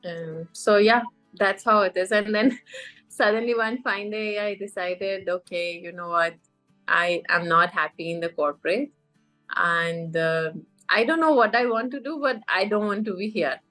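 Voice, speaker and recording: A woman's voice: mid-range voice, measured pace, a bit bright, soft background music, low-quality recording. A middle-aged woman from Iran, a life coach, telling her story in a podcast interview with many fillers and restarts.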